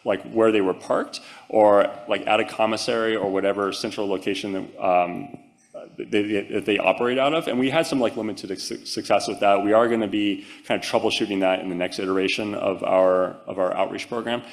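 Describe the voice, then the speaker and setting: A man's voice: fairly low pitch, fairly even intonation, fairly quick, vocal fry. A man giving a conference talk and answering audience questions, casual with many "um"s and "like"s. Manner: casual, many fillers and restarts. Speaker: conference presenter. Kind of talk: presentation and Q&A answers.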